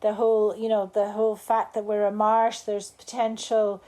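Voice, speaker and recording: A woman's voice: mid-range voice, completely flat delivery, normal speed, warm tone. A woman with an Irish accent, not from the local area, talking casually to a live group at a bar event, rambling with many restarts and fillers. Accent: Irish, not from Cork. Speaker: salon speaker.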